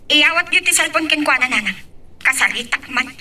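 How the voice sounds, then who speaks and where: A woman's voice: sits high, expressive, a little fast, bright, forceful tone, distant mic, heavily acted. A woman playing a bitter, lovesick young woman in an Ilocano melodrama, with an Ilocano accent. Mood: accusing, bitter. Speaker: voice actor in a drama.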